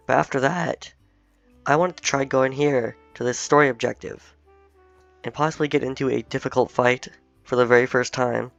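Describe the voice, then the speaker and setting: A man hosting a gaming video, slightly hoarse while recovering from illness, chatting and thinking aloud as he plays. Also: medium pitch, steady tone, normal speed, fairly bright tone, no echo, faint music behind. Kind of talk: casual let's-play commentary. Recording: dull, dry home recording.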